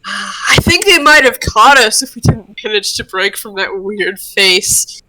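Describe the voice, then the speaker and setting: A woman's voice: mid-range voice, expressive, measured pace, pushed and bright, low-quality recording. A woman voicing an earnest, friendly male cartoon devil, chatty and a little hesitant, in an amateur roleplay. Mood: relaxed, amused. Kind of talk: amateur roleplay read-aloud of a cartoon character.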